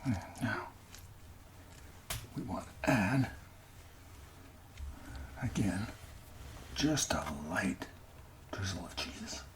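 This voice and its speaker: A man from Canada speaking softly and gently in an ASMR cooking style, often dropping to a breathy whisper as he talks through each step. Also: on the low side, lively intonation, very slow, long pauses, a little hiss or hum. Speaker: amateur ASMR cooking host. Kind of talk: soft-spoken ASMR cooking narration.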